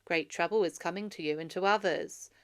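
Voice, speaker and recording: A woman's voice: on the low side, a little fast, clear, resonant tone, natural voice. A woman voicing a grave male seer who gives solemn, emphatic warnings in a storybook. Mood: ominous.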